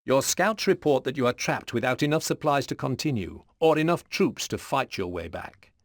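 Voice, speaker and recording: A man narrating a video game story in a measured, scripted storytelling manner. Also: medium pitch, no room sound, studio quality.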